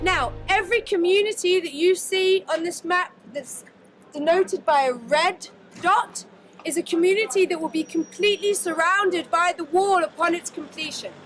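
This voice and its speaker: High-voiced woman explaining a map. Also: moderate pace, a bit bright, soft background music. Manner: informative, matter-of-fact. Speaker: guide. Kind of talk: explanatory presentation.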